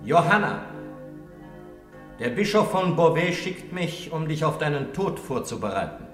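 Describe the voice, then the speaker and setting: High-voiced, earnest priest. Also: male voice, very animated, unhurried, fairly bright tone. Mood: anxious solemn. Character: earnest, anxious priest preparing a prisoner for death. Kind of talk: German radio play acting. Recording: roomy, music behind.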